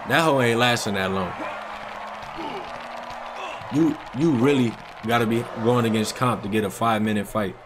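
A man's voice: medium pitch, moderate pace, pauses often, crowd noise. A young man giving casual, slangy reaction commentary to his viewers as a gaming video host. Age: young adult. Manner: slangy, conversational, addressing chat. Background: music.

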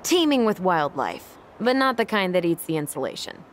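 Young American sister, dry understated teasing, guarded, lowish pitch, video game dialogue, outdoor ambience. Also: female voice, big pitch swings, moderate pace, a little hiss or hum. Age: young adult.

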